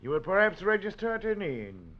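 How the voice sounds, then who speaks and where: A man's voice: high-pitched, very animated, brisk. An actor playing a sleek, cunning, mocking man who is a master of disguise, in a 1940s radio drama. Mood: smug, taunting. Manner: smug, taunting. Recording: dull old radio recording.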